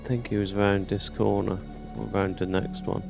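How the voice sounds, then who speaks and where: A man's voice: fairly low pitch, level tone, normal speed, vocal fry, over music. A man giving casual, colloquial gameplay commentary, thinking aloud as he goes. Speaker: gaming YouTuber.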